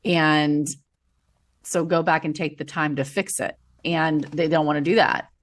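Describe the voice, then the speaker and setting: Blunt, emphatic woman with a low voice. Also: little pitch movement, a little slow. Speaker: podcast guest, former teacher. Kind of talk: casual podcast conversation.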